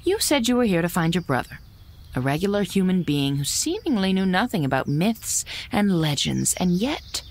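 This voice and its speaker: A woman voicing a shrewd, watchful fox spirit in an audio drama, cool and deliberate, with a probing manner. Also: fairly low pitch, very animated, slight background noise. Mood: suspicious.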